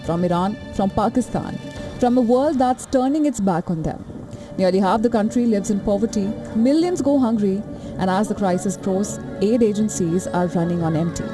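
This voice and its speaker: A woman reporter narrates a news package with dramatic, emphatic phrasing. Her voice sits over a clear music bed. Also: medium pitch, normal speed.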